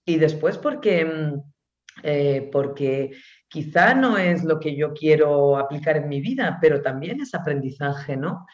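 A woman with a Spanish accent, an author answering interview questions slowly and thoughtfully, pausing often. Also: low voice, animated, darkish tone, roomy sound, highs cut off. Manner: slow, thoughtful, with many pauses and fillers. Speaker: author and guest.

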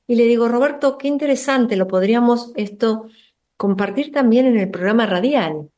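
Brisk Argentine woman hosting radio. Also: a little high, darkish tone. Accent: Rioplatense Spanish. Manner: brisk, eager, with filler sounds. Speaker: radio host. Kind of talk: radio interview.

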